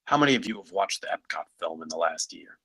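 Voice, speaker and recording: A man playing a blunt, challenging company executive in a screenplay table read, with a rough voice and a brisk, commanding manner. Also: deep voice, animated, sharp tone, heavily acted.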